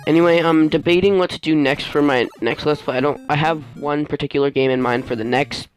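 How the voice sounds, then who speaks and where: A man giving casual let's play commentary as host, rambling and halting as he often loses his train of thought. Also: fairly high pitch, fairly even intonation, faint music behind, low-quality recording. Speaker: gaming video host.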